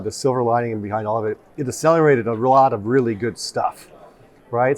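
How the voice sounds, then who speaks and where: Man, middle-aged, interviewee, executive, upbeat, plainspoken, matter-of-fact, conference studio. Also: mid-range voice. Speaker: company CEO interviewed. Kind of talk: conversational interview.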